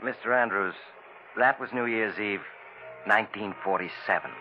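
An actor playing a calm, courteous, patient physician in a 1940s radio thriller. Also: male voice, fairly low pitch, faint music behind, close to an everyday voice. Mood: patient, explanatory. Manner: measured, hesitant, polite. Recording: tinny old radio recording with noise.

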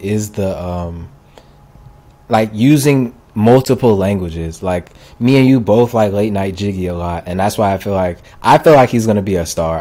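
Laid-back male podcast host with a lowish voice, easygoing conversational talk. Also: darkish tone. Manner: laid-back, lots of "like" and "I feel like". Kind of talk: casual music podcast chat.

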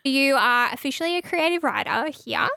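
A woman's voice: animated, normal speed, vocal fry, pushed and bright, dry recording. A woman, hosting a casual podcast chat in a radio studio and laughing often. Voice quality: high-pitched. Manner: bubbly and chatty, laughs often. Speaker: podcast host.

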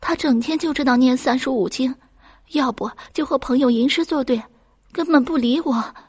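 An earnest, lovestruck young daughter in a storybook folk tale, acted out by a woman storyteller. The voice is raised and breathy, with a pleading, expressive way of speaking. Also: on the high side, moderate pace, no room sound, studio quality, voice pushed up. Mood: tearful complaint.

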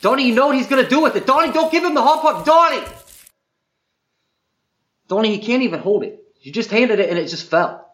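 A man's voice: sits high, lively intonation, fast talker, few pauses. A man playing an exasperated, boastful famous actor on a Bigfoot hunt, complaining in a whiny, incredulous way in improvised comedy. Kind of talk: improvised comedy character monologue and banter.

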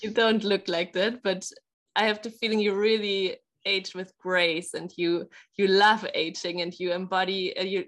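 A woman hosting an interview, asking gentle, halting questions. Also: mid-range voice. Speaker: host. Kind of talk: interview conversation.

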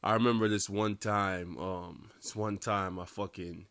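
A man's voice: deep voice, fairly even intonation, unhurried, fairly bright tone, no room sound. A young man from Jamaica with a Jamaican accent, hosting a casual solo podcast with rambling, profane talk full of "um"s. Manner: rambling, profane, laughs often, many "um"s. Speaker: podcast host.

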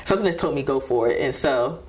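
A young woman talking as a guest on a casual podcast, lively and chatty, laughing often and hyping up her host. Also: rumbling low, quick pace. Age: young adult.

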